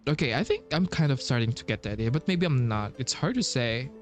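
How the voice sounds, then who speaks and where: A man doing casual playthrough commentary as a streamer, thinking aloud haltingly and repeating himself. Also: mid-range voice, lively intonation. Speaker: game streamer. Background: game music.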